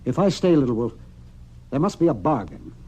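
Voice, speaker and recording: An actor playing a steady, protective frontier doctor in an old radio Western, talking in a deliberate, sometimes muttering way. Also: male voice, mid-range voice. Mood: grave, firm. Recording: noisy old-time radio recording.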